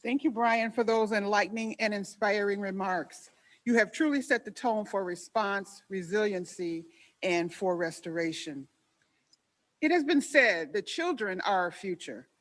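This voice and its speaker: A woman's voice: mid-range voice, animated. A woman emceeing a commemorative event, reading formal speaker introductions. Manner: formal, measured reading from a script.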